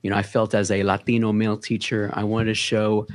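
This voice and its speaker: Relaxed Bronx man telling his story. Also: on the low side, brisk. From the Bronx, New York. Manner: relaxed, storytelling with many filler words. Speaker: guest, content creator. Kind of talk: casual podcast interview.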